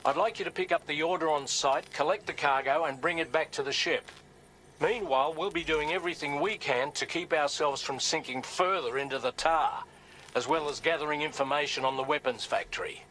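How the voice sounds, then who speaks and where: Bright, formal ship's officer. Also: male voice, on the high side, brisk, poor audio. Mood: businesslike, urgent. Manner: formal, precise, procedural. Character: formal, businesslike ship's officer giving mission orders. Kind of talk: video game mission briefing. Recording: some background ambient noise.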